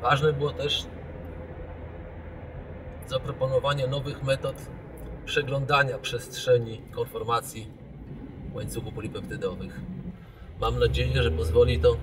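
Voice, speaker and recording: A man's voice: medium pitch, background noise. Thoughtful middle-aged male professor. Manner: thoughtful, with pauses and self-corrections. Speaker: scientist and professor. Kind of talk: interview and seminar discussion. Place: office.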